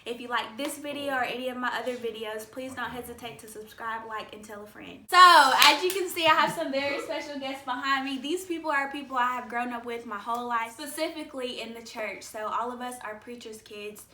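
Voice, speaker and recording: A woman's voice: on the high side, brisk, hardly any pauses, bright, forceful tone. A young woman hosting her vlog in a casual, chatty way and leading a group through questions. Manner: friendly, chatty, leads the questions. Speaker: YouTuber host. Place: indoor room with guests. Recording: echoey room, distant mic, faint noise.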